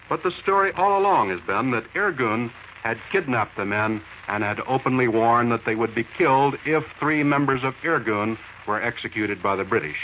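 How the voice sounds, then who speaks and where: American guy, 1940s radio news commentary, medium pitch, tinny w some hiss.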